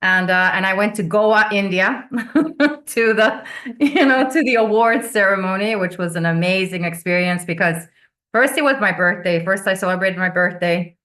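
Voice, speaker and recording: A woman's voice: mid-range voice, moderate pace, fairly bright tone. A woman who grew up in Venezuela, a business coach chatting as a guest on a video call, laughing often and repeating words as she starts. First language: Finnish. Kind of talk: casual interview chat.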